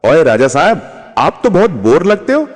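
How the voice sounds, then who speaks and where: A man's voice: fairly high pitch, big pitch swings, measured pace, long reverb tail. A man voicing a cheeky, talkative male monkey in a teasing, familiar way for an animal storybook tale.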